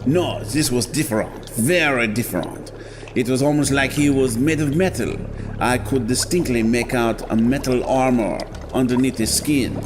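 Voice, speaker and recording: An actor playing a proud, suspicious gentleman in an audio drama, formal and emphatic, quick to take offence. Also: medium pitch, noisy background, natural voice. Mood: uneasy insistence.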